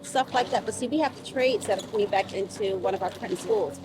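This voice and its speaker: A woman's voice: mid-range voice, quick pace. A woman in her fifties speaking emphatically in a conversational interview as a council member, repeating phrases for stress. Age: 50s. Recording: street noise with people and vehicles. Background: crowd and vehicle noise.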